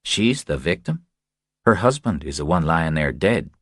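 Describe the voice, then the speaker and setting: A man's voice: sits low, very animated, a little slow, a bit bright, dry recording, studio quality, full character voice. A man voicing a sour, defensive male state trooper in an audiobook, curt, stubborn and dismissive. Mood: dismissive, offended.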